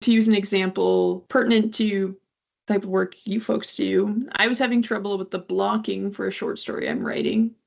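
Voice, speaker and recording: A woman's voice: mid-range voice, expressive, normal speed, vocal fry. A woman interviewer putting conversational questions to her guests. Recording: dull lo-fi audio.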